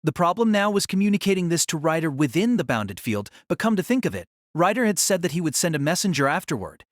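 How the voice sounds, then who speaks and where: Higher-voiced man narrating, dry sound. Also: big pitch swings, quick pace, no echo. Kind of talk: scripted novel narration.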